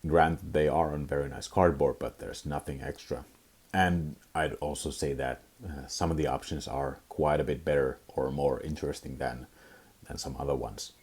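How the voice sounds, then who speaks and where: A young Finnish man with a Finnish accent, hosting a casual hobby review vlog, talking in a halting way with many fillers and restarts. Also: a bass voice, fairly even intonation, darkish tone, slightly rough. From Finland. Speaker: tabletop gaming channel host.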